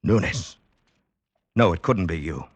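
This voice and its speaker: Old-time radio drama: grave mining engineer haunted by the mountains, low voice, measured dramatic storytelling. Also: male voice, expressive, breathy edge, dry recording, heavily acted. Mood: stunned disbelief. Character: haunted mining engineer, former mountaineer, telling his tale.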